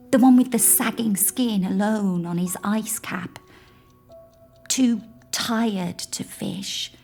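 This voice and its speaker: A woman playing a grieving, imaginative young girl who confides in her toy polar bear in an audio drama, hushed and childlike. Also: medium pitch, vocal fry, slightly rough, full character voice. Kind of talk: intimate audio drama monologue.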